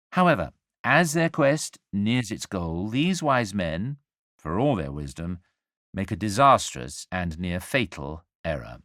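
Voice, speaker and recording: Low-voiced male storyteller, expressively varied. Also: fairly bright tone, no room sound, clean studio sound. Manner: measured, wry, literate, deliberate phrasing. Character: angel narrating the nativity story, wry and urbane. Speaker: storyteller narrator. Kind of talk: scripted dramatic storytelling narration.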